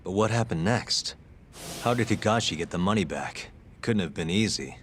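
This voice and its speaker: Low-voiced, dogged young investigator. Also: male voice, expressive, normal speed, a bit bright, slight background noise. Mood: probing. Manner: terse, dry, probing. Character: cool, dogged young male private investigator. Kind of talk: video game cutscene dialogue.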